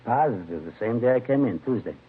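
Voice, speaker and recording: A man playing a gruff, talkative drunk-tank prisoner with an American accent and blunt street talk in a vintage radio crime drama. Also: medium pitch, animated, fast. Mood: eager, chatty. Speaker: radio actor. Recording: old muffled radio broadcast, faint hiss.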